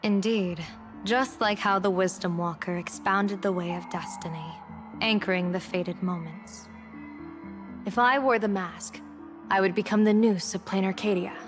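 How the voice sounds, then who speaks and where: Woman acting a shrewd female general, fortune-telling strategist, measured and theatrical, game cutscene, medium pitch, clear background music. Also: natural voice. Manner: measured, formal, theatrical, philosophical delivery. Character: shrewd, composed female general and diviner, secretly gambling against gods. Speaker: military general and diviner.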